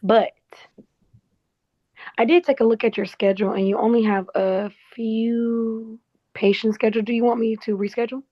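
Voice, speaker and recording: A woman's voice: on the high side, level tone, normal speed, lo-fi sound, lightly acted. A woman playing a helpful, cheeky office assistant in a casual, conversational drama table read. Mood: helpful, teasing. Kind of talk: casual naturalistic script read.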